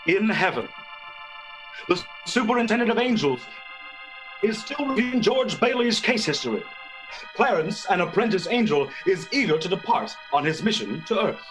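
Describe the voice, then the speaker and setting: A man's voice: high-pitched, sing-song, moderate pace, music in the background, poor audio. A man narrating a scripted radio play as announcer.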